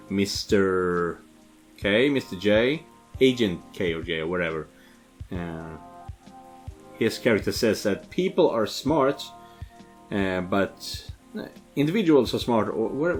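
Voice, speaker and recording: A man's voice: low voice, expressive, takes it slowly, pauses often, soft background music. A man hosting a let's play, giving casual, reactive commentary as he goes through a game's dialogue. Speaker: let's-play host and narrator. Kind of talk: casual game commentary with read-aloud dialogue.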